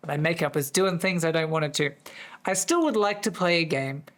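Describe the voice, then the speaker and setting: Hoarse streamer, casual live chat, rambling, easily sidetracked, many restarts. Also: medium pitch, a little fast. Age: adult, working full-time. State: voice going hoarse.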